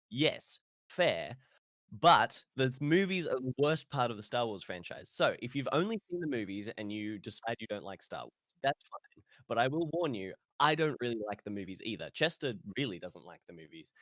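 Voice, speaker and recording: A man's voice: mid-range voice, big pitch swings, moderate pace, fairly bright tone, no echo. A man co-hosting a podcast in a casual, rambling, opinionated chat. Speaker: podcast co-host.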